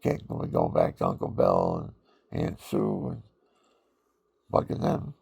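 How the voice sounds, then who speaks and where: An older man with a deep voice, talking in a rambling, folksy personal monologue full of fillers. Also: wildly swooping pitch, a little slow, creaky, darkish tone, slightly rough. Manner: folksy, meandering, with many fillers.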